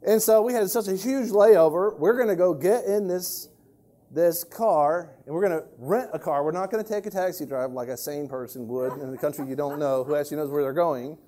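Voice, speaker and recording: A man with a Southern American accent telling a humorous travel story in a sermon, animated and chuckling. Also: on the high side, fairly quick.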